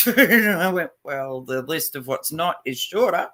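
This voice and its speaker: A middle-aged man chats loosely on a stream. His voice is bright and forward, his talk rambling and profane, full of fillers. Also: on the high side, animated, a little slow. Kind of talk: casual chat.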